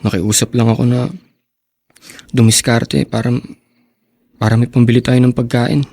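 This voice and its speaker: An actor playing a poor, desperate young husband who works as a porter, in a Tagalog radio drama. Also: male voice, medium pitch, flat delivery, moderate pace, a little mellow, natural voice. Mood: weary, pleading.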